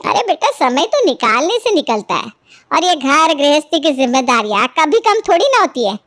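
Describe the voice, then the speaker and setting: A woman's voice: sits high, big pitch swings, moderate pace, fairly bright tone. A woman voicing a stingy, scheming older mother-in-law in a cartoon family story, scolding and gossiping indignantly. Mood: coaxing.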